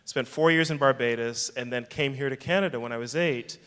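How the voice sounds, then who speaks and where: A man who directs a film festival, talking in a measured, thoughtful way at a fireside chat, often restarting his sentences. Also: medium pitch, fairly bright tone, clear, resonant tone. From England. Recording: reverberant hall.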